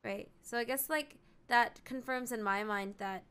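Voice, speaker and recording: A woman's voice: fairly high pitch, a little slow, a bit bright, clean tone. A woman streaming a story game, giving casual, reflective reaction commentary as she thinks aloud.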